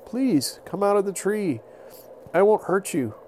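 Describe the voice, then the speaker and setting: Casting: male storyteller as young woolly mammoth for a bedtime story, high-pitched, eager, friendly and wide-eyed, noisy home-style recording. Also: expressive, unhurried, darkish tone. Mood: amazed, welcoming.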